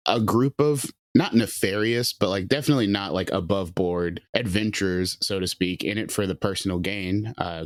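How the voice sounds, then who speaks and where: Casual guest, man in his late twenties, very low voice, dry close mic, rambling and chatty. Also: no room sound. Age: late 20s. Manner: loose and chatty, many fillers. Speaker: writer and producer. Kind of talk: casual interview chat.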